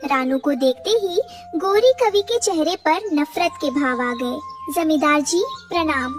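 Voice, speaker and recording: A woman's voice: very high voice, expressive, takes it slowly, distant mic, soft background music. A woman reading scripted storybook narration for a cartoon tale.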